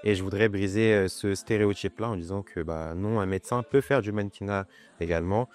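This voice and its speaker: A man's voice: fairly low pitch, quick pace, darkish tone, clean tone. A man of about twenty, a student model, answering interview questions in a gallery, hesitant and full of fillers. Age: 20s. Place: art gallery.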